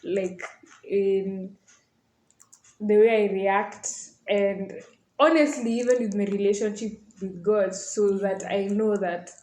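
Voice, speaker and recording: A woman's voice: mid-range voice, fairly even intonation, takes it slowly, a little mellow. A woman of about twenty with a Kenyan accent, talking casually as a podcast panelist. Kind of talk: casual group chat. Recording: echoey room.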